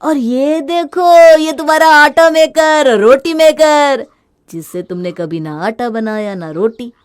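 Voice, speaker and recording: A woman's voice: high voice, lively intonation, takes it slowly, pitched above the performer's own voice. A woman voicing a young husband in a storybook drama, fed up with his wife's spending and mocking her sarcastically. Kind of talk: storybook voice acting.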